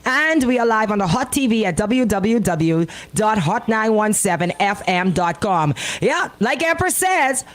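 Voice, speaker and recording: A woman with a Bahamian accent hosting a live radio show with casual banter, talking in a playful, animated, colloquial way. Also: medium pitch, a little slow, hardly any pauses.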